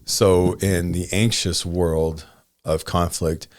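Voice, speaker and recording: A man's voice: low-pitched, flat delivery, takes it slowly, a little hiss or hum. A man in his forties co-hosting a casual podcast, thinking aloud and often checking in with "right?" and "you know". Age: 40s. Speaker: podcast co-host.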